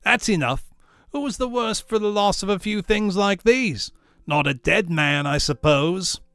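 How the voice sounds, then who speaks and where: Male reader as a coarse defiant charwoman, high pitch, scornful, brash, emphatic, storybook character. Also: unhurried, a bit bright, smooth, no echo, clean studio sound. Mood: scornful, gloating.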